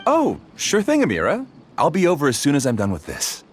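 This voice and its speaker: An actor playing a polite, learned researcher in a video game, speaking in a composed, formal, measured way with some guardedness. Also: male voice, on the high side, wildly swooping pitch, a little hiss or hum. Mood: affectionate. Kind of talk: English video game voice acting.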